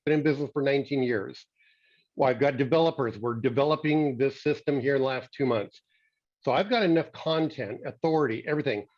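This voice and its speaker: Hoarse man on a dull video call. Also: on the high side, a little mellow. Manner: halting, searching for words. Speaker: attendee asking a question.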